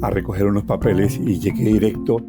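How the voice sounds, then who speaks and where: A man with a Colombian accent recounts his own life in an expressive, reflective way, repeating phrases for emphasis. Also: lowish voice, a little fast, over loud music. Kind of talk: personal oral storytelling.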